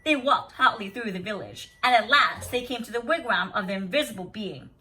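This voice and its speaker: Bright, animated woman reading a storybook. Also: mid-range voice. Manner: dramatic, deliberate, explanatory. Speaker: kindergarten teacher reading aloud. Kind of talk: expressive storybook read-aloud with simple explanations. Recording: voice somewhat distant from the mic.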